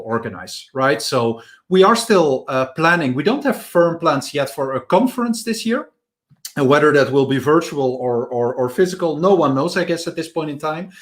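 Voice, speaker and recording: Man, online meetup host, slightly high voice, loose and chatty, fillers throughout. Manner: loose and rambling, with "you know" and "right?". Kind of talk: casual announcements.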